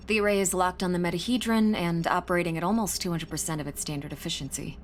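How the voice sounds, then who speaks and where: A woman playing a composed scientist on a space mission in a video game, speaking with measured precision. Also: lowish voice, steady tone, a little hiss or hum, close to an everyday voice. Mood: composed, focused. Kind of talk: cinematic video game acting.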